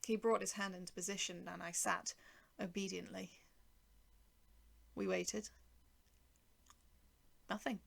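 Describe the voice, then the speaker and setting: A woman reads a first-person story in a creaky voice at an ordinary female pitch. Her delivery is wry and sardonic, moving between narration and spoken dialogue. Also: steady tone, slow, pauses often, a bit bright. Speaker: writer and narrator.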